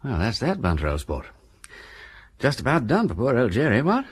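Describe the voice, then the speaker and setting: An actor playing a breezy, slangy aristocratic sleuth with a husky voice and upper-class English accent in a radio drama. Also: male voice, medium pitch, huge pitch swings, moderate pace. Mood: breezy, concerned. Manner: drawling, foppish, slangy. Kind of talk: British radio drama acting.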